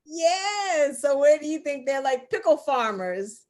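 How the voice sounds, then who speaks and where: A woman's voice: high voice, very animated, a little slow, darkish tone. A woman co-hosting an online news show, running a trivia game in casual chat and laughing often. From United States. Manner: laughs often, playful quizmaster. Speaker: co-host.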